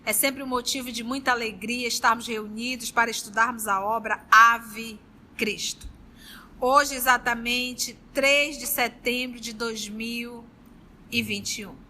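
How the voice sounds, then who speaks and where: A woman's voice: on the high side, fairly even intonation, measured pace, sharp tone, slight background noise. A Brazilian woman hosting a book study group, speaking affectionately and reading aloud. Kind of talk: warm group talk and slow read-aloud of a novel.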